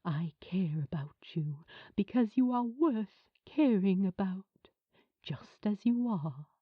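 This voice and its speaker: A woman plays a kind man who hosts children's television, gentle and earnest. The voice is husky and breathy, taking its time over each tender phrase. The sound is narrow and phone-like, like an audiobook heard down a line. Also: medium pitch, expressive, slow, no room sound. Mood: tender, emotional. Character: gentle, kind male children's TV host saying a tearful farewell. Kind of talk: audiobook character acting.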